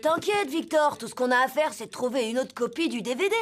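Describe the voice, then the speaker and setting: A woman's voice: high-pitched, lively intonation, brisk, very noisy. A woman voicing a cheeky, inventive schoolboy in a children's cartoon, with a theatrical, glib delivery. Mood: upbeat scheming. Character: cheeky, inventive schoolboy who leads his friends' schemes. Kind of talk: animated children's series dub.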